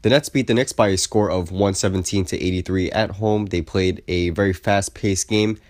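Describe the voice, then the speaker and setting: A man's voice: low voice, fairly even intonation, normal speed, darkish tone, dry recording, studio quality. A young man with a congested cold voice, giving a casual basketball recap as a video host and often saying "I definitely think". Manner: easygoing, repeats "I definitely think". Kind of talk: casual sports recap commentary.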